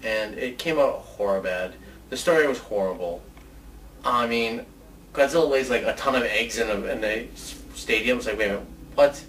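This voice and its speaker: A man's voice: mid-range voice, normal speed, a bit bright. A man co-hosting a casual video chat in an informal, loose way, often repeating his phrasing. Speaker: co-host of a video show about movie ideas. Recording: distant mic with faint background noise.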